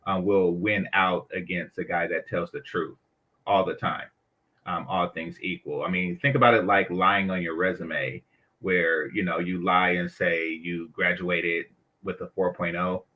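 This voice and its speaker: Deep voice guy on a panel, blunt and sure, kinda muffled audio. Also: steady tone, a little slow. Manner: matter-of-fact, sure of himself. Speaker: guest panelist. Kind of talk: casual panel talk.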